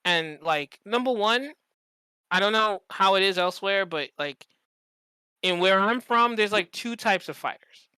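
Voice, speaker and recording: A man's voice: sits high, expressive, unhurried, pushed and bright, smooth, no room sound, rough recording. A man chatting casually as a podcast host, telling stories in an emphatic, profane way and repeating phrases for effect. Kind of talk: casual chat, animated storytelling.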